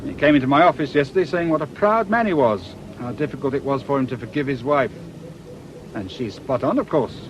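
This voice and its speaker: An actor playing a shrewd British police inspector in a radio drama, with a dry, colloquial, knowing manner. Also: male voice, brisk, highs cut off, close to an everyday voice. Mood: dry, explanatory. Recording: noisy outdoor ambience, vehicle rumble.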